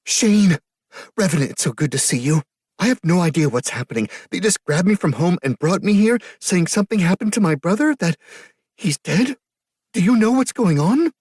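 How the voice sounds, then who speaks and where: A grieving young woman cries and pleads in a high, shaky voice, as a male reader puts her on. Her words tumble out between sobs, and a husky grain sits under the strain. The reading is dry and close, with no room around it. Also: lively intonation, moderate pace, darkish tone, dry recording. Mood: distraught. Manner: teary, sobbing, breathless pleading.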